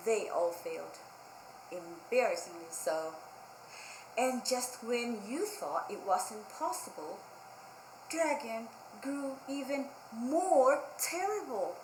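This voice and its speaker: A woman's voice: fairly high pitch, animated, takes it slowly, darkish tone, reverberant, slight background noise, low-quality recording. A woman hosting a children's storytime, reading a picture book aloud expressively and emphatically, with simple asides to young listeners. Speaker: children's librarian storytime host.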